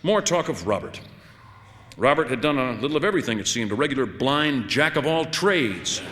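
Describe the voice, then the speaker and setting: Bright-voiced man reading fiction, very lively pitch. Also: normal speed, a little hiss or hum. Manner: wry, conversational, dramatic delivery with shifts into dialogue. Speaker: audiobook narrator. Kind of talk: expressive first-person literary short story reading. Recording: long hall-like reverb.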